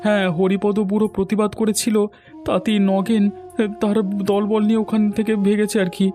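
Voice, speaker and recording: A man's voice: sits high, flat delivery, moderate pace, dark tone, faint music behind, lightly acted. A man voicing an aggrieved, humble villager in a cartoon, earnest and plaintive. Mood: hurt, despairing. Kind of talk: cartoon dialogue.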